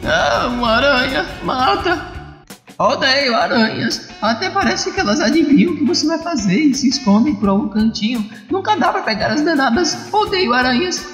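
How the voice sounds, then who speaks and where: Man playing a whiny young woman, fretful comic-book receptionist, high-pitched, reverberant, music behind. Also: expressive, normal speed, a bit bright. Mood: irritated, fretful.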